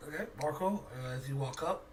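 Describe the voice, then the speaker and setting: A man improvising as game master, narrating in a halting, think-aloud way. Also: a little high, distant mic, noisy background.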